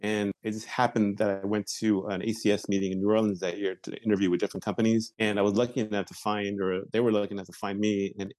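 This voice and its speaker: A man in his forties, a patent examiner, answering interview questions in a measured way with frequent fillers and restarts. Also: fairly low pitch, level tone, a little mellow, dry recording.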